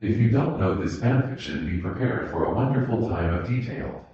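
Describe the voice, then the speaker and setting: Male story narrator, low register, scripted audiobook read, strongly reverberant and slightly dull home recording. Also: animated, fairly quick. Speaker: fan fiction narrator. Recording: muffled, very echoey room recording.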